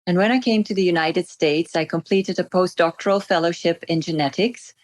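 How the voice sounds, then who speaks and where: Woman, middle-aged, from the Netherlands, native Dutch speaker, slightly low pitch, articulate, conversational interview guest. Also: brisk. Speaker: physician and podcast guest.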